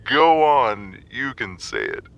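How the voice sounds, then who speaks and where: A man playing a smug, teasing, grumpy former mentor with a theatrical, drawn-out delivery in an audio drama. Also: medium pitch, wildly swooping pitch, creaky, a bit bright, full character voice, poor audio.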